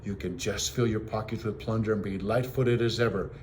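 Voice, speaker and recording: A man voicing a quick-witted boy from a storybook who poses as a prince, earnest and haughty, on a noisy home recording. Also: fairly low pitch, steady tone, a little mellow, lightly acted. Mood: commanding. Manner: earnest, feigning innocence and princely command.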